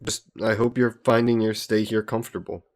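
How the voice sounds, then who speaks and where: Low-voiced courtly vampire lord, played by a man. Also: level tone, natural voice. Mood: polite, reflective. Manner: formal, genteel, measured phrasing. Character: ancient aristocratic male vampire, courtly, protective, gently teasing. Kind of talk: scripted romantic audio roleplay.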